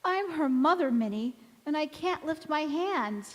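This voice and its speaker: A woman playing a wistful, gentle mother in an old-time radio drama, recorded in an echoey church hall. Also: high voice, animated, unhurried, a little hiss or hum. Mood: wistful anguish. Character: wistful, gentle mother returned as young visitor.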